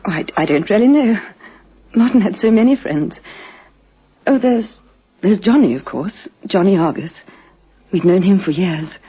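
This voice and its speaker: Breathy, faltering grieving widow. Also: female voice, medium pitch, expressive, normal speed, pauses often. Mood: hesitant, subdued. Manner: hesitant, faltering, hushed at times. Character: grieving recent widow, shaken and halting, adult. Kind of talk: radio crime drama acting. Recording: dull lo-fi vintage radio recording.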